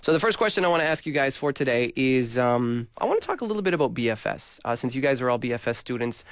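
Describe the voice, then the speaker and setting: A man's voice: medium pitch, sing-song, quick pace, no echo, clean studio sound. A man hosting a radio show, interviewing students casually with jokey, slangy banter.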